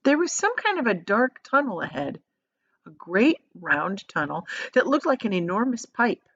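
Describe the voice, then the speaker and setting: A woman reads a children's story with dramatic emphasis. Her mid-pitched voice moves up and down a lot, and it is recorded dry and slightly muffled. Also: very animated, normal speed, a bit bright, no echo. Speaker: storybook reader, teacher. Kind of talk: expressive children's story read-aloud.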